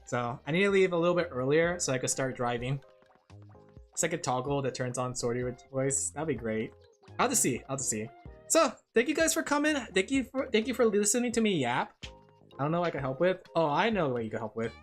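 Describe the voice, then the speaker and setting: A woman's voice: low voice, big pitch swings, brisk, faint music behind. A woman VTuber streamer chatting casually with her viewers while drawing, rambling and laughing often.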